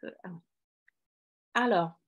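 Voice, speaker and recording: A woman whose first language is Italian, leading a playful moment on a video call. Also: very slow. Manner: playful, animated, counting aloud. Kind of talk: lively video call hosting.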